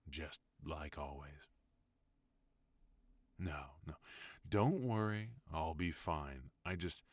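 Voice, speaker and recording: A man playing a commanding mafia boss husband in an ASMR audio roleplay, speaking with cold, measured menace. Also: low-pitched, dry recording. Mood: weary, tender.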